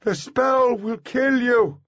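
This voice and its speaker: A self-assured teenage boy genius from an audiobook, played by a man, speaks in a raised, slightly husky voice. His words are formal, precise and intense, and the recording is a little dull. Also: sits high, a little slow, no room sound, voice pushed up. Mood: urgent shouting. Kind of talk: audiobook character voice.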